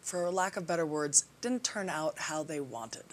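A woman hosting an interview, speaking in a friendly, brisk way. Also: low-pitched, expressive, slight background noise. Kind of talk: conversational interview.

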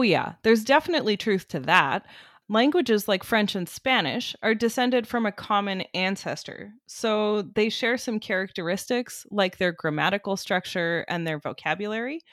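A woman's voice: mid-range voice, dry recording. A woman with a mid-range voice explains things in an articulate, conversational way, like an educator in an interview. The recording is dry, with very little room sound. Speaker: music cognition researcher and educator, interviewee.

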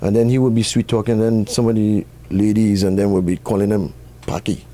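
Mellow Trinidadian man chatting casually. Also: fairly low pitch, level tone, a little fast. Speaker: interview guest, record shop owner. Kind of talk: casual conversational storytelling.

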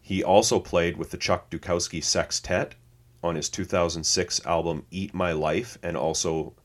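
Very low male voice, casual podcast co-host, relaxed, reads from notes, laughs easily.

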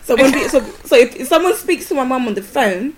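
A woman with a London accent speaks with a fairly high voice. She is a podcast guest who chats casually and laughs easily at herself. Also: lively intonation, a little hiss or hum. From Portugal. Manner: quick to laugh, self-deprecating.

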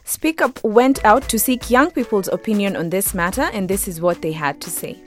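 Casting: female talk show presenter, medium-pitched voice, reading scripted introductions and links. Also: normal speed, faint music behind. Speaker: host.

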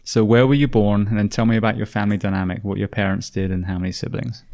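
A man with a fairly low voice hosts a podcast interview from a home. He talks in an earnest, measured way and sometimes restarts his sentences. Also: flat delivery, darkish tone. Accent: British. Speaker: podcast host.

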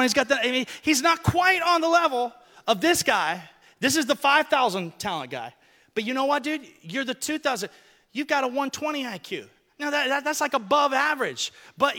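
A man preaching a lively sermon, joking with volunteers he brings on stage. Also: extremely high pitch, brisk. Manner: joking, animated, talks to people on stage. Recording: reverberant hall sound.

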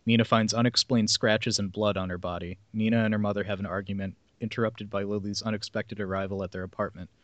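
A man's voice: on the low side, flat delivery, quick pace, few pauses, a bit bright, no echo, highs cut off. A man hosting a podcast, reading a plot summary aloud with casual asides. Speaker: podcast host reading film synopses.

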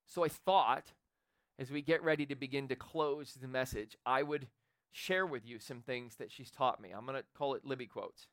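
A man in his forties preaching a sermon in a church, loud and animated, building up intensity. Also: medium pitch, moderate pace, no room sound, clean studio sound. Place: church sanctuary with a congregation. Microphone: handheld or stage microphone.

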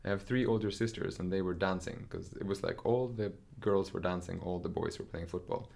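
A man's voice: sits low, steady tone, a little fast, vocal fry, a little mellow. A young man from Norway, a dancer, talking through his story in a casual interview, thoughtful and hesitant with many fillers and restarts.

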